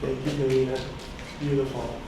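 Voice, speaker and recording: A man's voice: mid-range voice, flat delivery, normal speed. A man hosting a poetry event introduces the next reader in a friendly way, with some fillers. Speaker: event host. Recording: distant, echoey, noisy room recording.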